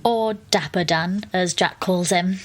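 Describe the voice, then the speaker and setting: A woman's voice: lowish voice, takes it slowly, noisy background. A woman playing a wry, exasperated young woman, narrating and acting in an audio drama. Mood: wry, resigned. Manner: dry, wry, understated.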